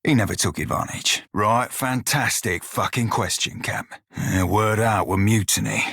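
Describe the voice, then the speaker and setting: An actor playing a gruff, wry pirate shiphunter in a video game, with a rough-edged voice and folksy sailor dialect. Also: male voice, lowish voice, a little slow, fairly bright tone, dry recording, studio quality, full character voice. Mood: wry, resolute. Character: salty blue-furred pirate shiphunter, former slave, gruff and wry. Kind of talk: video game character dialogue.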